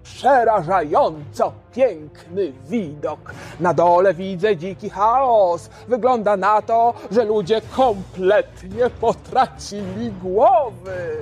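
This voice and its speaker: Guy playing war pilot reading his memoir, slow and detached, cold fascination, music behind. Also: high voice, darkish tone. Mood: detached, awed. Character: German male bomber pilot, coldly fascinated by destruction. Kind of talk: dramatised memoir reading in an audio drama.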